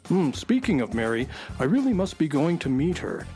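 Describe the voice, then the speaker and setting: A man's voice: fairly high pitch, huge pitch swings, moderate pace, creaky, a little mellow, faint music behind, exaggerated voice. A man playing an earnest, stuffy collector in a children's radio drama, speaking in a formal, wordy, pedantic way. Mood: earnest, appreciative. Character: Briscoe, earnest stuffy male collector, fond of dull things.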